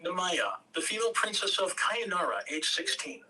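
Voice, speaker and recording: A man narrates a screenplay's scene headings and action at an amateur table read. His voice is high for a man and very bright, picked up a little away from the microphone with faint room noise. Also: big pitch swings, moderate pace, husky, recorded from a distance, low-quality recording. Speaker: stage-direction reader.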